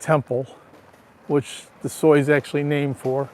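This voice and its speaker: A man with a raspy, allergy-roughened voice chatting casually to viewers while walking outdoors, recorded on a handheld phone. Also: fairly high pitch, level tone, measured pace, a little mellow. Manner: chatty, greets viewers by name. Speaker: livestream vlogger. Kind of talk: casual live walking tour. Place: outdoors on a town street.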